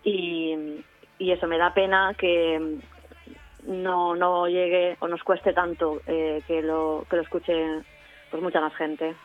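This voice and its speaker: A woman's voice: fairly low pitch, level tone, slow pace. A woman with a Spanish accent from Spain talking casually and emphatically as a guest in a radio interview. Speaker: community radio member, interviewee. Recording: narrow-band remote line, music behind.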